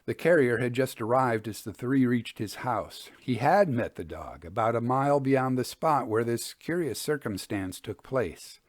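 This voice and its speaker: A man narrating scripted stories as a storyteller and host, with a measured, formal delivery. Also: medium pitch, expressive, no echo, clean studio sound. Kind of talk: scripted narration of old ghost stories with commentary.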